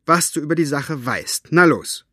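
A man voicing a gruff, sharp-tongued woman book collector who talks brusquely and mockingly. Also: a little high, expressive, moderate pace, no echo, studio quality.

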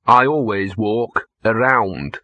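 A man's voice: fairly low pitch, takes it slowly, sharp tone, no echo, heavily acted. A man voicing a scruffy, cynical, streetwise talking dog with a grumbling, smug, colloquial way of speaking, in a storybook reading. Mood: disgruntled, sardonic.

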